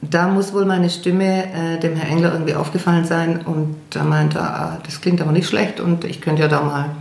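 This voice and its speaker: A woman's voice: low-pitched, little pitch movement, normal speed, gravelly, darkish tone. A German woman singer, chatting in an interview, hesitant and self-deprecating with many fillers. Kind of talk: casual interview chat. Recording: echoey room.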